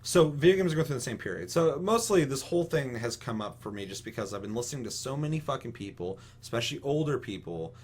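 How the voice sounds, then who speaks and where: A man's voice: mid-range voice, expressive, quick pace, hardly any pauses. A man chatting casually as a podcast host, giving opinions in an emphatic, rambling way full of profanity. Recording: clearly audible hum and noise.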